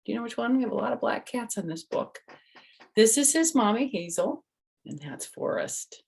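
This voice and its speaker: A woman's voice: on the high side, lively intonation, normal speed, vocal fry, a little mellow, slightly rough. An older woman reading a children's picture book aloud, speaking to young listeners and adding chatty personal asides.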